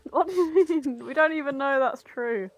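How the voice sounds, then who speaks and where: A woman's voice: high voice, normal speed. A woman on a comedy podcast, chatting casually in a playful, teasing way. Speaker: podcast panellist.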